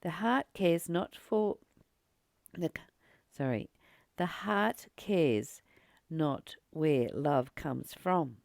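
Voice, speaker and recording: A woman leading a spiritual book study, reading aloud and reflecting in a deliberate, emphatic manner with pauses and repeated phrases. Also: sits low, gravelly, a little mellow, dry recording, clean studio sound. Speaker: spiritual teacher and channel host.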